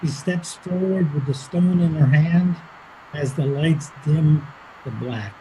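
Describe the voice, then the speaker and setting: Woman narrating stage directions for a play, takes it slow, bit of noise in the background. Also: low voice, dark tone, rough recording.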